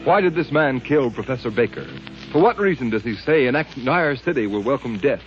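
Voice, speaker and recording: A male announcer with a mid-range voice reads in a formal, dramatic old radio manner. The broadcast is muffled, with music clearly behind him. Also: mid-range voice, animated, a little fast. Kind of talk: scripted 1930s radio announcing. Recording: muffled 1930s radio recording.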